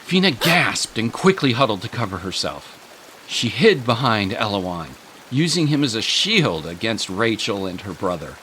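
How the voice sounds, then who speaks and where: A man's voice: lowish voice, sing-song, measured pace, sharp tone, slight background noise, poor audio. A man narrating a dramatic scripted story. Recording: music and rain sounds behind.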